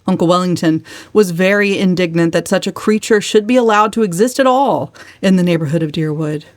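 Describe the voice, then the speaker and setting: A woman's voice: fairly low pitch, a little fast, no echo, studio quality. A woman narrating a novel as a dramatic, scripted literary reading. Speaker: audiobook narrator.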